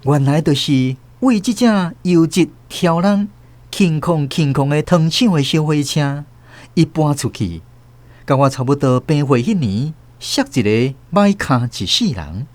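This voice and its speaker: 台灣口音、起伏豐富的回憶敘事者. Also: male voice, fairly high pitch, animated, measured pace, a little mellow, dry recording. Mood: wistful, dramatic. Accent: Taiwanese. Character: grown narrator recalling a childhood train ride with mother. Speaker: narrator. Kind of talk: literary radio drama narration in first person.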